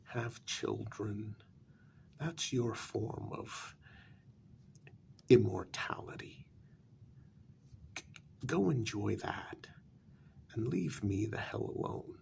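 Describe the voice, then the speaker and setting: Man playing a slow, gravelly, soft-spoken jaded vampire. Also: lowish voice, exaggerated voice. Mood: weary, dismissive. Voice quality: soft, gravelly, breathy half-whisper. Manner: slow, deliberate, weary sarcasm. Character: jaded, irritable male vampire hiding a hideous face. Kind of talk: soft-spoken ASMR role-play monologue.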